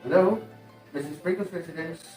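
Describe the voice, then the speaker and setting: Man as a high-pitched formal butler, echoey. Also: brisk, darkish tone, far from the mic, soft background music, close to an everyday voice. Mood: formal relaying. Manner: formal, servile. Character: formal, deferential household butler. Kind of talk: amateur stage-play acting.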